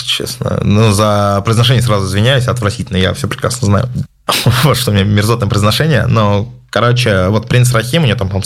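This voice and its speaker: A man hosting a casual question-and-answer session, giving his opinions in a measured way with many hesitant filler sounds. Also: on the low side. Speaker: host answering viewer questions. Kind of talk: casual spoken Q&A.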